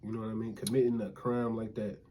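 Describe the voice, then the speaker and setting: A man chatting casually with his wife, laying out his view in a relaxed, reasoning way. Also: on the low side, fast, slight background noise. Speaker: co-host, husband. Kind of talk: casual chat.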